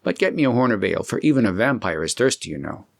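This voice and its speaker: A man's voice: fairly low pitch, sing-song, fast, creaky, full character voice. A man voicing a wry young man back from near death in a storybook, speaking in a dry, teasing manner.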